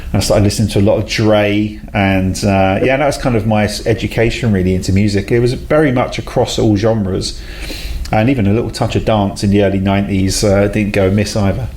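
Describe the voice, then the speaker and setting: A man's voice: fairly low pitch, steady tone, brisk, a little mellow. A man, a talkative, self-deprecating guest who laughs often in a casual interview. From South London, England. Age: 40s. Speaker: guest, head of a talent company.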